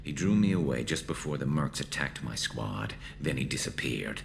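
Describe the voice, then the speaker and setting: Grim alien vigilante, extremely low voice, gravelly, flanged metallic filter, dry brooding delivery, video game, background ambience. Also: male voice, animated, normal speed, slight background noise, full character voice. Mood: bitter, determined. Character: grim alien mercenary vigilante, male, haunted and vengeful. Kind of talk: video game dialogue acting.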